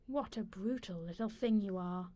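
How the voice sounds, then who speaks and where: A woman playing a wronged older wife in a radio play, dignified and bitter, with a measured, probing, accusing delivery. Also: mid-range voice, warm tone, close to an everyday voice. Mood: dry, bitter.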